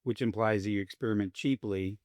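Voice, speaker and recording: A man's voice: on the low side, steady tone, fairly quick, darkish tone, dry recording, clean studio sound. A man hosting a casual technical conversation, speaking in short, pithy statements. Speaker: host.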